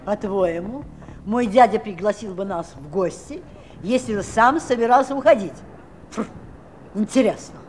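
An actress playing a strict, self-assured nanny in a 1960s audio play, curt and commanding. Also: female voice, medium pitch, animated, normal speed, darkish tone, a little hiss or hum, lightly acted. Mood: stern. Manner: curt, commanding, matter-of-fact.

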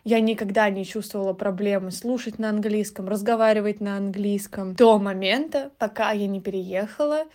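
Young woman, listener voice message, reflective, steady monologue. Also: mid-range voice, normal speed, hardly any pauses. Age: young adult. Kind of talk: recorded voice message.

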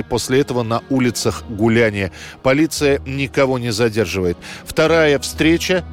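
A man reads a scripted history documentary narration. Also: medium pitch. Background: music bed.

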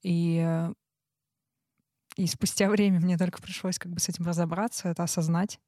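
A woman's voice: lowish voice, little pitch movement, moderate pace, dark tone, no echo. Woman in thoughtful casual talk, dry sound. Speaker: talk show co-host. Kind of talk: casual reflective conversation.

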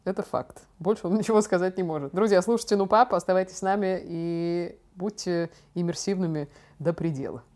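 Young woman podcast host, talks really fast, slangy and chatty, casual storytelling. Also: fairly low pitch, warm tone. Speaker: podcast co-host, daughter talking with her father.

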